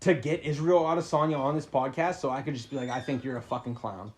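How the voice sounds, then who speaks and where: A man's voice: medium pitch, quick pace. An American man co-hosting a podcast in heated casual sports banter, brash, profane and argumentative. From United States. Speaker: podcast co-host.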